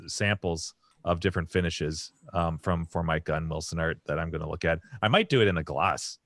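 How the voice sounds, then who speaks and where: A man with a very low voice that has gone hoarse and half lost, talking in a loose, rambling way on a podcast. He restarts his sentences often. The sound is close and dry, with no room around it. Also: fairly bright tone, no room sound. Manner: rambling storyteller with many restarts. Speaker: podcast co-host. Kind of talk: casual podcast chat.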